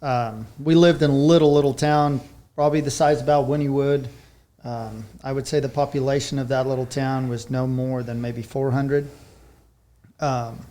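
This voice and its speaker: A man in his thirties with a South African accent, whose first language is Afrikaans, talking as a podcast guest. He tells his story in an easygoing, meandering way, and his voice sits at an everyday middle pitch. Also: fairly even intonation, moderate pace, mellow. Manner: easygoing, rambling recollection. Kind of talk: casual interview storytelling.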